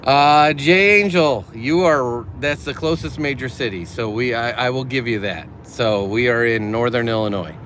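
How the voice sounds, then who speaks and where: A man's voice: medium pitch, moderate pace, hardly any pauses, a bit bright, slight background noise. A middle-aged man hosting a casual livestream from a car, reading out viewer comments in a chatty, upbeat way and laughing easily. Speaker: livestream host.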